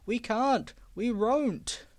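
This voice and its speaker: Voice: mid-range voice, very slow, a little mellow, pitched above the performer's own voice. A reader voicing old, stubborn railway trucks that groan and wail shrilly. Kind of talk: storybook reading.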